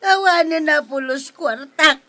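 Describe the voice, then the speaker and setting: Casting: woman plays pleading Ilocano villager, high bright whine, drawn-out delivery, comic radio drama. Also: exaggerated voice. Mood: plaintive. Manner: wailing, plaintive. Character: whining, pleading villager woman seeking the captain's help.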